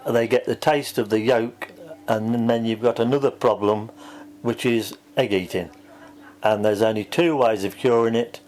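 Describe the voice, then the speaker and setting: A man of medium pitch answers as a practical expert. His delivery is informal, matter-of-fact and plain-spoken. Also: a little mellow, slight background noise. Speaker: farm technician, poultry expert.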